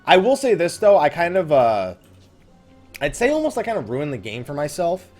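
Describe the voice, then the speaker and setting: A man's voice: fairly high pitch, wildly swooping pitch, normal speed, darkish tone, soft background music. A man giving casual, rambling let's play commentary, informal and often correcting himself as he drifts into side thoughts. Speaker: gaming video host.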